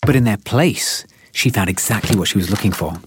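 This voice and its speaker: An actor playing a perky, nerdy male scientist in a naturalistic audio drama, eager and pedantic, slightly husky. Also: on the low side, fairly quick, background noise, lightly acted. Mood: intrigued.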